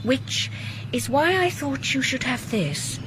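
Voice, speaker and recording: An actor playing a composed, perceptive widow of a policeman, measured and quietly candid. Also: sits high, sing-song, background noise, full character voice. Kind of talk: radio drama acting.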